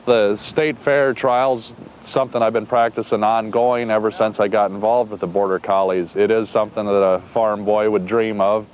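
Man from Iowa, low voice, calm plainspoken talk, faint outdoor noise. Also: moderate pace, few pauses, poor audio. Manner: calm, plainspoken, with fillers and restarts. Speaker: sheepdog trainer. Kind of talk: casual interview talk. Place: outdoors on a farm.

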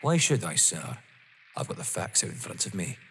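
A stern, dogged police inspector, acted by a man in an audio drama. He is rough-voiced and dryly polite, with pointed, lively intonation and long reverb around him. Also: sits low, full character voice. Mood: accusing. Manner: deliberate, pointedly courteous. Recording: long echoing hall reverb.

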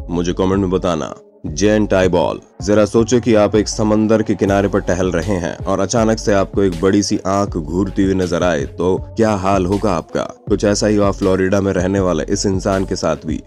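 A man's voice: deep voice, warm tone. A man reading scripted narration for an online video, addressing the listener directly as he tells it. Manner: addresses listeners with rhetorical questions. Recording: music under the voice. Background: background music.

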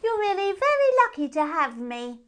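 A woman voicing a mad, cheeky, self-important male hare in a children's storybook, speaking in drawn-out exclamations. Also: very high-pitched, big pitch swings, pitched above the performer's own voice. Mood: airy, boastful.

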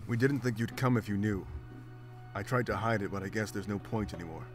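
An actor playing a big, gruff, pragmatic male wolf-like warrior in a video game, serious and firm. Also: on the low side, fairly quick, music in the background, lightly acted. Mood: guarded, grim.

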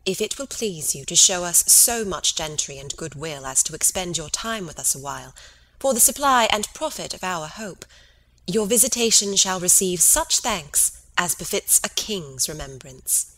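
Woman as dignified queen, lowish pitch, crisp bright tone, courtly, classical drama. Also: expressive, normal speed, rough recording. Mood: gracious, concerned.

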